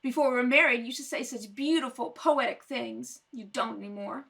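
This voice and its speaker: A woman's voice: on the high side, a little fast, gravelly, fairly bright tone. A woman playing a nagging, aggrieved, sleepless wife in an old-time radio comedy, complaining dramatically. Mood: wounded.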